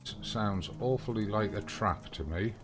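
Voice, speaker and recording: A man's voice: fairly low pitch, normal speed. A man giving casual live commentary on a video game, thinking aloud in short muttered phrases. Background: video game music.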